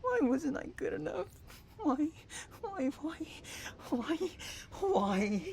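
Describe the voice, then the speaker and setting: A man's voice: extremely high pitch, big pitch swings, slow, vocal fry, a little mellow, slight background noise, full character voice. A man acting an obsessive, unhinged stalker in an audio roleplay, cooing pet names and drawing out words between tenderness and anguish. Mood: anguished, frantic. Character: obsessive, unhinged male stalker lover, sweetly possessive and menacing. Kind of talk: dramatic roleplay audio monologue.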